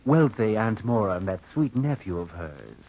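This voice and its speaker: An actor playing a secretive young nephew in a 1940s radio drama, theatrical and halting, repeating phrases as if confessing. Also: male voice, on the low side, very animated, a little hiss or hum. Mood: brooding, haunted. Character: brooding, secretive young nephew, devoted heir narrating his past. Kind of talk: 1940s radio acting, confessional narration and dialogue. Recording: dull vintage radio drama recording.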